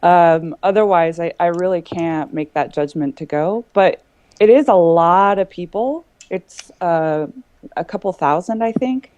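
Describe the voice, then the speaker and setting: Low-voiced woman, close and dry. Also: a little slow, a little mellow, no room sound, highs cut off. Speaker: panelist. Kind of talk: casual group conversation.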